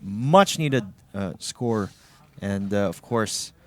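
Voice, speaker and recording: A man giving measured, somewhat hesitant analysis as an esports co-caster. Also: fairly low pitch, lively intonation, fairly bright tone. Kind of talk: live esports analysis commentary.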